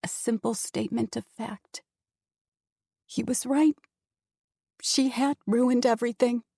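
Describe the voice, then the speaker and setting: A woman's voice: a little high, fairly even intonation, slow pace, gravelly, dark tone, no echo. A woman narrating an audiobook in a dramatic, intense storytelling manner on a close studio mic. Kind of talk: scripted narration.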